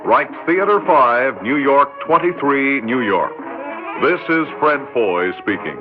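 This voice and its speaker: Brief: man announcing in a lively, formal cadence, dull lo-fi old radio sound, loud music under. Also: medium pitch, slow. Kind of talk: vintage network radio announcing.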